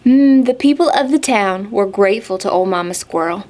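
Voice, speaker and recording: A woman storytime host reading aloud expressively to small children, phrasing clearly and asking them questions. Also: medium pitch, lively intonation, normal speed, darkish tone.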